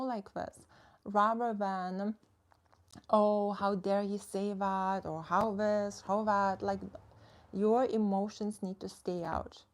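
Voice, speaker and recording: A young woman, speaking at a middling pitch. She chats loosely and frankly with her viewers, dropping plenty of "like" and "right". She sounds like a coach talking off the cuff on a live stream. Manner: chatty, frank, many fillers like "like" and "right". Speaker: social media creator and business coach. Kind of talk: casual live-stream chat answering viewer comments.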